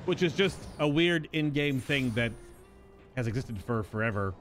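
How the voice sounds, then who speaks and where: A man's voice: medium pitch, animated, moderate pace, soft background music. A man doing casual live game commentary, musing and rambling as he thinks aloud. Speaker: game streamer.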